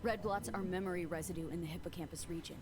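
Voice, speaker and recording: Woman acting streetwise merc girl from a video game, lowish voice, blunt and urgent, noisy audio. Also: fairly even intonation, fast talker, lightly acted. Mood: focused, grim. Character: tough, streetwise young female mercenary investigating a conspiracy. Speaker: game character, protagonist V.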